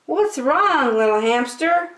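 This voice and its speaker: Lady doing a sweet little bunny, gentle and reassuring, high voice, kinda echoey. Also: animated, slow, slight background noise, full character voice. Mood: kind. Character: kind, patient little bunny.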